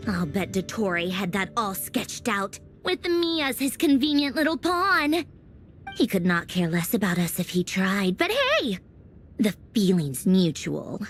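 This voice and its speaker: A woman's voice: mid-range voice, very animated, measured pace, slightly rough, soft background music. A woman voicing a brash, spirited young fighter in a video game, blunt, breezy and sarcastic. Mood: sardonic, blunt.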